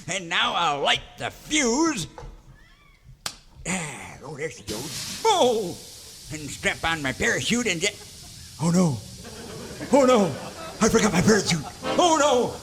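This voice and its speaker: A wizened old cowboy sidekick, high in pitch and swooping all over. He is feisty and muddled, full of folksy exclamations in a western radio spoof, on a noisy recording. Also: very animated, slow, creaky, long pauses, a bit bright, full character voice. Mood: muttering, absent-minded, then panicked. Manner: rambling, muttering, folksy exclamations. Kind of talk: parody of old-time western radio serial.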